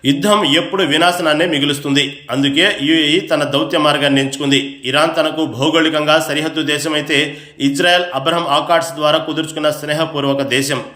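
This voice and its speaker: A man with a somewhat high voice delivers a scripted news report. His delivery is formal and emphatic, like a voice-over for a bulletin. The sound is clearly roomy and echoing. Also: fairly even intonation, a little fast, bright, forceful tone. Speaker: news narrator.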